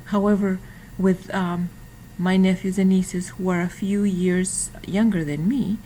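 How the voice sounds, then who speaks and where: A woman's voice: mid-range voice, steady tone, mellow, a little hiss or hum, rough recording. A woman, carefully reading a prepared class presentation. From Mexico. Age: 50s. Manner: careful, deliberate reading with some restarts. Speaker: student.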